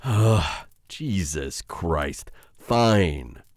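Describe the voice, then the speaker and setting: A man playing a menacing, conflicted witch hunter in an ASMR roleplay, addressing his captive in a halting, intimate, taunting way. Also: sits low, lively intonation, exaggerated voice. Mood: wary threatening.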